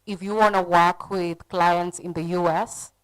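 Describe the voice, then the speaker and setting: Earnest Kenyan woman panelist, lowish voice. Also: fairly even intonation, measured pace, clean tone, poor audio. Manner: direct, advising, earnest. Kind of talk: conference panel talk.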